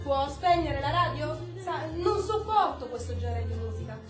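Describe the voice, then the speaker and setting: An actress playing an icy, commanding government secretary in a radio play, speaking formally and cuttingly. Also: female voice, high-pitched, moderate pace, over music, close to an everyday voice. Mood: stern, cutting. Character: icy, commanding American government secretary, woman, rebuking an officer. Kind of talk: stage-style radio drama acting. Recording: roomy echo, faint noise.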